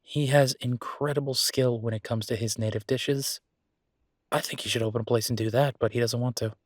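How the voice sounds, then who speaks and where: A man playing an easygoing, passionate restaurateur boyfriend in a conversational roleplay audio drama, husky and chatty with emphatic repetition. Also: mid-range voice, steady tone, a little fast, dry recording, studio quality, natural voice. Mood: enthusiastic, opinionated.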